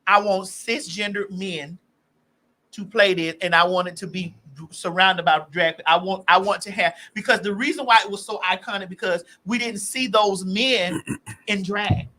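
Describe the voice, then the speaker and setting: A young adult man hosting a raunchy casual chat, loud, brash and theatrical, sometimes breaking into song. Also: sits high, moderate pace. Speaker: podcast host.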